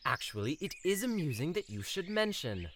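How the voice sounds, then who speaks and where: Man as a bright, high-voiced melodramatic prince. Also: sing-song, normal speed, slight background noise. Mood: smugly delighted. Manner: florid, theatrical, formal phrasing. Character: melodramatic, lovestruck, oblivious young prince. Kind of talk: comedic fairytale audio drama acting.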